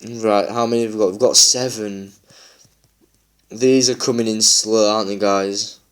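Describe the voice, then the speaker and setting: A man talks loosely over his gameplay, his voice a little low and worn croaky from a long session. He rambles with plenty of fillers, friendly and unscripted. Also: steady tone, moderate pace. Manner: rambling, chatty, many fillers. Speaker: gaming YouTuber. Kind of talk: casual gameplay chat.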